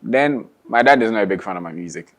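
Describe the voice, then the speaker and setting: Young male guest, low voice, easygoing, laughs a lot, restarts lines; casual sit-down interview. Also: quick pace. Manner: relaxed, laughs often, restarts sentences. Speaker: singer and guitarist guest.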